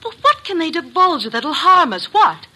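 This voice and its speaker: A woman's voice: extremely high pitch, big pitch swings, a little slow, bright, forceful tone, heavily acted. A woman playing a strong-willed, hot-tempered stage actress, dramatic and insistent, in old-time radio melodrama style. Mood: distressed. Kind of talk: 1940s-50s radio melodrama acting. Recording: noisy vintage radio recording.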